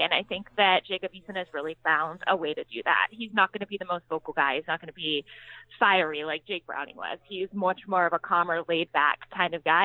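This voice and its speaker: A woman's voice: on the low side, no room sound, narrow, tinny audio. An American woman, a sports beat writer, giving conversational answers as an interview guest. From Pittsburgh, United States.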